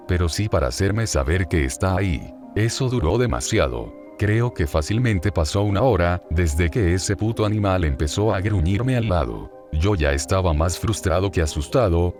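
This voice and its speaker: A man reading horror stories aloud as a narrator, in a steady, measured storytelling manner. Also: sits low. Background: background music.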